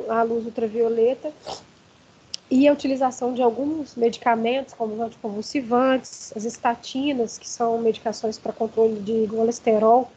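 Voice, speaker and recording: A hoarse woman with a Brazilian accent, giving an online lecture with many fillers and restarts. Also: a little high, warm tone, lo-fi sound, a little hiss or hum. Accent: Brazilian Portuguese. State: hoarse from allergy.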